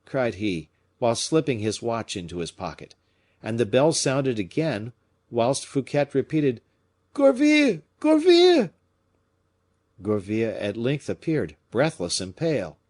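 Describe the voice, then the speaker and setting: Expressive male audiobook narrator. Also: medium pitch, normal speed. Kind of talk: scripted literary narration.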